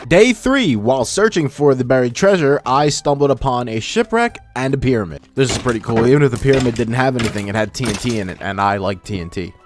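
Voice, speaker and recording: A man's voice: mid-range voice, expressive, fast talker, few pauses, slight background noise. A young man with a filtered voice hosting a gaming video, narrating his play in an informal, self-deprecating way. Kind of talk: casual scripted gameplay narration. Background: background music.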